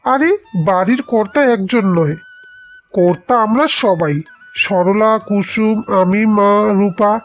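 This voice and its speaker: A humbled cartoon man of the house, played by a woman, grateful and earnest. The speech has a pleading manner at a middling female pitch. The recording is dull, with music behind it. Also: slow pace, natural voice. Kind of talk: cartoon dialogue.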